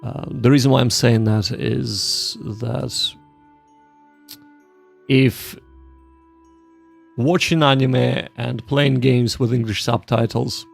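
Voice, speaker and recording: Creaky-voiced man hosting a casual livestream. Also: medium pitch, darkish tone. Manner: halting, repeats himself, addresses viewers directly. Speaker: livestream host of a Japanese study session. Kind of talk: casual livestream chat and explaining.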